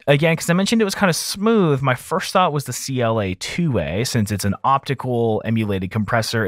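A man talking informally and thinking aloud as an audio engineer in a software tutorial voice-over. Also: on the low side, no room sound, studio quality.

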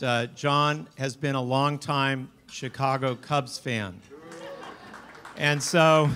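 A man's voice: medium pitch, fairly bright tone. Man introducing a speaker at a podium. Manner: friendly, slightly halting, chuckles between facts. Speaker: event host introducing speaker. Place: large banquet hall. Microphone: podium microphone.

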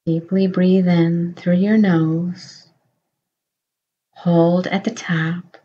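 A woman guiding a meditation in a slow, soothing voice, offering gentle reassurance. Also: on the low side, little pitch movement, muffled recording. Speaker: meditation guide. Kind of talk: guided meditation.

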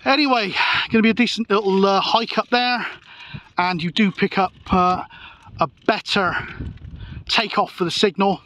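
High-voiced man chatting outdoors. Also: steady tone, moderate pace, a bit bright. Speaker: CB radio operator and vlogger. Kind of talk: casual chat and radio calls. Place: outdoors on an open moorland hilltop. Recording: outdoor recording with clear noise.

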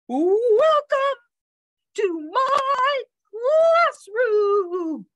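High, gushing little storybook bird. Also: female voice, very animated, fairly bright tone, dry recording, lo-fi sound, exaggerated voice. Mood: gleeful. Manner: exclamatory, sing-song, drawn-out words. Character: little yellow female bird, gushing, theatrical teacher. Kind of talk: children's storybook character voice.

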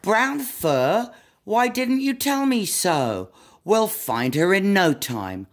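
A woman's voice: medium pitch, very animated, slow. A woman reading a storybook aloud as a helpful, confident but muddled butterfly guide. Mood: eager.

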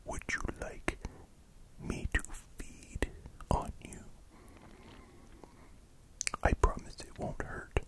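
A performer acts out a vampire dog count for a sleepy ASMR ramble. Every phrase is whispered close to the mics and drawn out unhurriedly, often repeated. The count is soothing and playful, with a gentle hint of menace. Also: lightly acted. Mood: coaxing, gently menacing. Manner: slow, repetitive, hushed whispering. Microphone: close pair of microphones.

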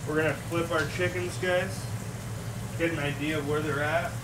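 A man's voice: on the high side, fairly even intonation, normal speed, distant mic, noisy background. Laid-back young man cooking on stream. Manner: laid-back, slangy, talks to chat while cooking. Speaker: cooking show host. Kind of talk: casual live-stream cooking commentary. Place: home kitchen, indoors. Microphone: room mic away from the speaker. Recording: roomy kitchen sound from off-mic, loud sizzle. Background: frying and sizzling pans.

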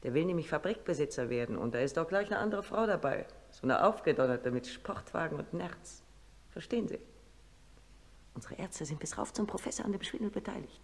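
A very animated spiteful female ward nurse in a radio play. She gossips conspiratorially, her voice ringing with long hall reverb. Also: sits low, brisk. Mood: spiteful, secretive. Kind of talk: radio drama acting.

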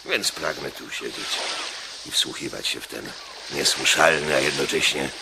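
An actor playing an ageing Roman proconsul in a radio drama, brooding, weary and grandiose, with a gravelly voice. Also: male voice, rumbling low, normal speed, heavy background noise. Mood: weary, bitter. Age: older. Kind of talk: theatrical radio drama acting.

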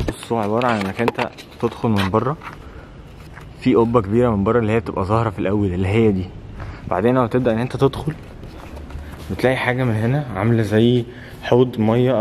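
Casting: Egyptian-accented male travel vlogger, twenties, very quick delivery, slightly low pitch, casual and emphatic. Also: darkish tone, a little hiss or hum. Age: 20s. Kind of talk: casual vlog chat to camera. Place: old stone mosque, arcades and open rooftop. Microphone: camera held at arm's length.

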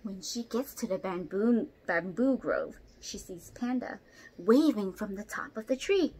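A young woman reading a picture book aloud expressively at home, pausing to ask child listeners questions. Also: mid-range voice, lively intonation, darkish tone, a little hiss or hum, poor audio. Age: young adult. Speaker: storyteller for children. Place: small room at home, indoors.

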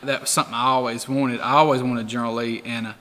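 A man in his forties, a car museum owner, talking in a relaxed, rambling way with many fillers in a documentary interview. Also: medium pitch, fast talker, long reverb tail. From Tennessee. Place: garage workshop full of memorabilia.

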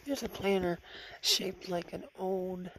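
Hoarse, gravelly woman vlogging on a phone, half-whispering low-key remarks over a noisy shop floor. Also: lively intonation, slow, fairly bright tone. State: hoarse from the flu, losing her voice. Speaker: vlogger browsing an antique mall. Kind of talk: casual running commentary while shopping. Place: large indoor antique mall in a former bowling alley. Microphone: handheld phone camera. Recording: noisy shop ambience behind phone audio.

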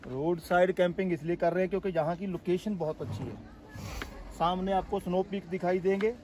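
A man's voice: high-pitched, steady tone, fairly quick, darkish tone, slight background noise. A man casually narrating a travel vlog outdoors on a mountain roadside, talking to viewers as friends. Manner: easygoing, addresses viewers as friends. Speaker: travel vlogger.

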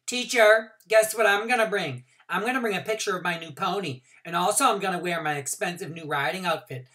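A woman voicing a prissy, boastful little girl bragging in a high sing-song. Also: a little fast, fairly bright tone, natural voice.

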